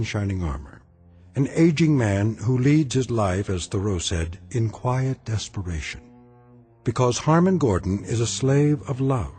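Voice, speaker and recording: An actor as a grave, wry, all-knowing narrator, giving measured, portentous dramatic narration of eerie radio tales. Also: male voice, lowish voice, darkish tone, close to an everyday voice.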